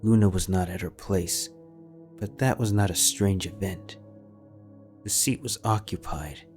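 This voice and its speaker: A man narrating a fan-fiction audiobook in a measured, steady storytelling manner. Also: low-pitched, soft background music.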